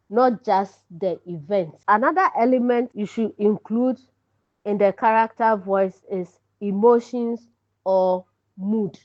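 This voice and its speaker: A woman with a Ghanaian accent giving an instructional lesson with deliberate, explanatory phrasing. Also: medium pitch, darkish tone, dry recording. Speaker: teacher presenting an online lesson. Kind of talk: instructional lecture. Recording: slightly dull, lo-fi audio.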